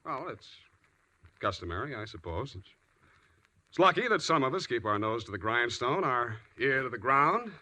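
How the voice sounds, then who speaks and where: An actor with an American accent playing a sardonic, hard-boiled private detective in a 1940s radio drama. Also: male voice, medium pitch, very animated, moderate pace, sharp tone. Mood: sheepish. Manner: sardonic, wry. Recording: old 1940s radio recording, faint noise.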